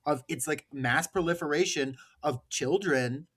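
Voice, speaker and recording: A man's voice: a little high, measured pace, fairly bright tone, husky. A man hosting a podcast, discussing politics casually and bluntly, with frequent swearing. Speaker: podcast host.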